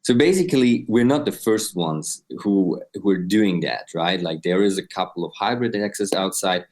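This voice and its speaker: Deep-voiced Austrian man in his twenties. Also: normal speed, a little mellow. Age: 20s. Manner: explains step by step, often adds "right?". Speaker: guest, crypto company co-founder. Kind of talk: explanatory interview answers.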